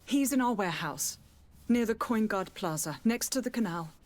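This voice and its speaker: A woman's voice: medium pitch, lively intonation, moderate pace, natural voice. An actress playing a proud, anxious middle-aged noblewoman in a video game, formal, courteous and defensive. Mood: alarmed, pleading. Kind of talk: video game dialogue acting.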